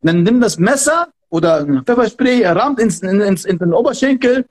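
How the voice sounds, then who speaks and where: A man's voice: high voice, animated, moderate pace. A man who talks in an emphatic, fervent way and repeats himself, giving advice as a martial arts instructor in a podcast conversation. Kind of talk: passionate podcast talk.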